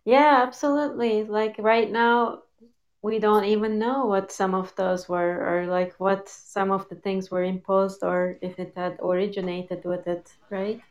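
A woman chatting casually in a group, quick to react and responsive. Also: medium pitch, fairly even intonation. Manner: engaged listener, quick to react. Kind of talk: casual group video chat.

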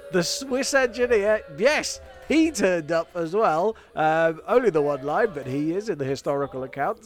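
A British man co-hosting a silly history comedy podcast, with playful, mock-grand scripted narration. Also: a little high.

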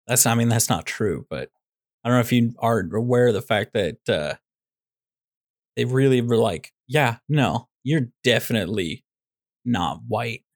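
A man's voice: medium pitch, expressive, measured pace, dry recording, clean studio sound. A man co-hosting a podcast, chatting casually and teasing his co-host with sarcastic banter. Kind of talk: casual chat.

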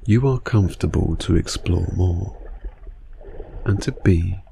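A man narrating a scripted guided sleep meditation in a soothing, unhurried manner with long pauses. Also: low voice, creaky, dark tone, a little hiss or hum.

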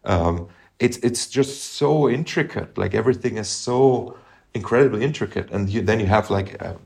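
A middle-aged man co-hosting a podcast, talking through music in a thoughtful, halting way and humming phrases to make his point. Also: fairly low pitch, creaky, darkish tone. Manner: thoughtful, halting, hums melodies to illustrate. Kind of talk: casual music discussion.

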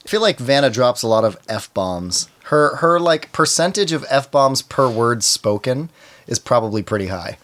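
A man player in a tabletop game podcast, chatting casually with a lively, teasing manner. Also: mid-range voice. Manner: lively, quick to tease. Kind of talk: casual chat.

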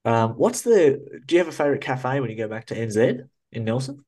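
A man's voice: medium pitch, big pitch swings, brisk, a little mellow. A man from New Zealand with an Australian-sounding accent, hosting a podcast interview in a chatty, upbeat way from a small cubby. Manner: chatty, upbeat, laughs easily. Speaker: podcast host. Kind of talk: casual interview chat.

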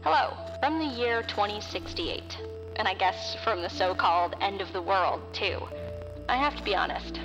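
An actress playing a wry, measured woman broadcasting from a collapsed future in a sci-fi audio drama. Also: medium pitch, lively intonation, highs cut off, music in the background, natural voice. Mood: wry resignation.

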